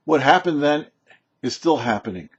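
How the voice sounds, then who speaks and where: A man with a husky, slightly rough voice delivers a short religious lesson. His pitch moves up and down expressively as he explains, pausing deliberately for emphasis. The recording is dull and lo-fi. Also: animated. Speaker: rabbi presenting a weekly Torah portion show. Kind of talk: short religious teaching, read to camera or mic. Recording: dull, muffled lo-fi sound.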